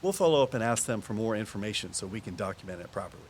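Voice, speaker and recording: Man acting a measured conservation officer, faint hiss. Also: lowish voice, lively intonation, lightly acted. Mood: measured, practical. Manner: patient, explanatory, reflective. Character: measured, nature-loving male conservation officer. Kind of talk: audio drama dialogue.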